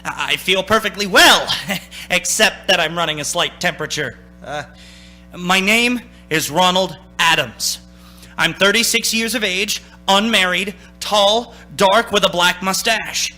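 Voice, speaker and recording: A man's voice: high-pitched, a little slow, pushed and bright, faint music behind, heavily acted. An actor playing a haunted man of thirty-six who tells his story in dramatic old-time radio style. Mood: desperate, urgent. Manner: urgent, dramatic first-person narrating.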